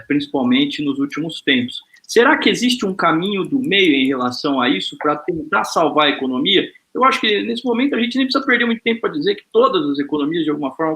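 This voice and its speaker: A man hosts an informal panel over a video call with a bright, forceful tone and a slightly high voice. He talks in a relaxed, lightly joking way and fills his pauses with hesitant sounds. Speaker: host.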